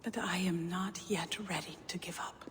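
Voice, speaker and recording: A woman playing a solemn, reclusive young seeress in a video game, grave and mysterious, with a hushed, breathy voice. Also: lowish voice, fairly even intonation, normal speed, lightly acted. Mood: solemn, haunted. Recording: long echoing reverb, faint noise.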